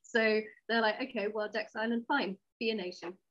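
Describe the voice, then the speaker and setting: A woman speaking as an interview guest, a writer telling stories in a casual, wry and animated way. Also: medium pitch, normal speed, highs cut off. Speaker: guest, audio drama writer.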